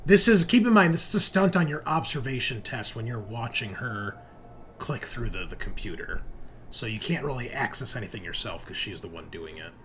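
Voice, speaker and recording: A man's voice: medium pitch, very animated, a little fast, fairly bright tone. A man running an online tabletop roleplaying game as game master, explaining things conversationally. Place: indoors at a computer, online game session. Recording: background noise with keyboard typing.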